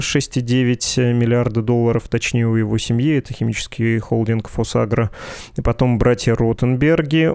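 A man's voice: mid-range voice, mellow, clean tone, dry recording, studio quality. A man hosting a podcast in a conversational style, wordy and wry with playful asides. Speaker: podcast host.

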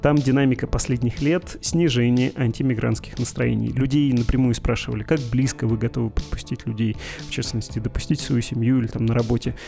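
A podcast host from the Perm region answering listener letters in a dry, ironic, argumentative way. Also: male voice, medium pitch, fairly even intonation, a little fast, creaky, hardly any pauses, mellow, faint music behind. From Perm region, Russia. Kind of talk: reading and answering listener mail.

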